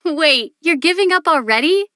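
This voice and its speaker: High-voiced, thoughtful young adventurer heroine. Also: female voice, expressive, normal speed, sharp tone, no echo, studio quality. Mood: amused. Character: young female adventurer, patient, thoughtful, dryly amused. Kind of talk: video game character voice acting.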